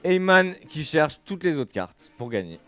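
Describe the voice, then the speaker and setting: High-pitched man calling a poker game. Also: a little slow. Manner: animated, informal, exclaiming. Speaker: poker dealer and announcer calling hands. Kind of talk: live poker commentary. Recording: music playing faintly behind the voice. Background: faint music.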